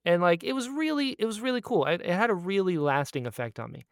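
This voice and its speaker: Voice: medium pitch, lively intonation, quick pace, clear, resonant tone, no echo. Enthusiastic, chatty podcaster, dry close sound. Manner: gushing fan enthusiasm, repeats phrases for emphasis; acts out quoted exchanges in storytelling. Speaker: podcast co-host. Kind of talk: casual chatty podcast catch-up.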